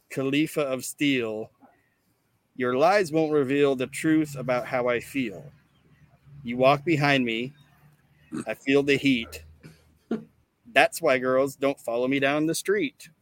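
Dry-sounding, slow-paced man hosting a podcast. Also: mid-range voice, no echo. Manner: deadpan, monotone when reading. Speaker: podcast host. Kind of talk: casual comedic podcast chat with mock recitation.

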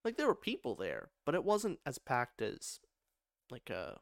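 A young man co-hosting a podcast in casual chat, lively and self-mocking, quick to laugh. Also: medium pitch, gravelly, no echo, clean studio sound. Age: young adult. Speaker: podcast co-host.

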